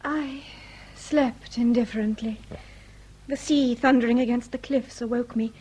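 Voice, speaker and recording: An actress playing a refined, fragile, melancholy Victorian lady in a British radio drama, with a breathy voice. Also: a little high, steady tone, a little slow, mellow, slight background noise, close to an everyday voice, poor audio. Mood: wan, polite. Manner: formal, mannered.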